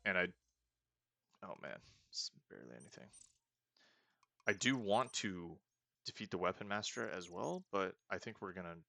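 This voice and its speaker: A man casually commenting on a game he is playing, planning his next moves aloud and often trailing off into soft mutters. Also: low voice, expressive, moderate pace, creaky, long pauses, dry recording. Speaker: let's play host.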